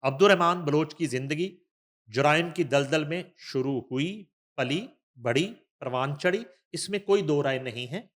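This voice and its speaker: A man with a Pakistani accent hosting a news talk show, reading an article aloud and adding conversational asides in an emphatic, deliberate manner. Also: fairly high pitch, a bit bright.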